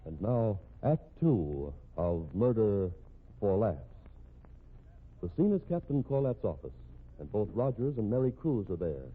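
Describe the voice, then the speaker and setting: Male 1950s radio announcer, scripted and animated, mid-pitched, band-limited vintage sound. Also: a little slow, breathy edge, phone-quality sound.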